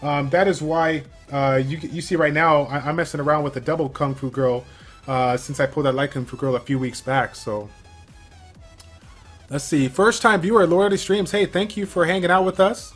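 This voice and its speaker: A man with a slightly high voice hosts a game livestream, reading viewer questions aloud and chatting through his answers. He talks loosely, with plenty of fillers. Faint game music plays underneath. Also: fairly even intonation, moderate pace. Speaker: stream host.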